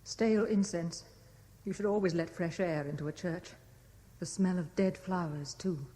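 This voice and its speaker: A woman's voice: lowish voice, fairly even intonation, moderate pace, mellow, lightly acted. A British actress playing a troubled, enigmatic, wistful young woman in a radio drama. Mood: brooding.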